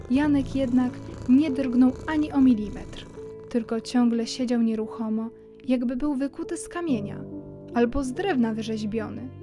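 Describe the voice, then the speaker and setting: A woman narrates a children's story from a script. Her voice sits on the high side, and music plays clearly behind her.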